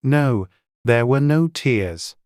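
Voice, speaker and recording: A woman narrates a scripted storybook. Her voice is extremely low and her pace is slow and measured. The recording is dry, with no audible room. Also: animated, darkish tone, no room sound. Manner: measured, unhurried. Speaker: audiobook narrator.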